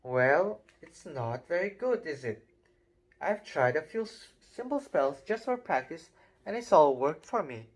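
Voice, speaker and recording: A man's voice: a little high, big pitch swings, a little slow. A man voicing a bossy, know-it-all young schoolgirl who rattles on eagerly. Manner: bossy, talks in a rush.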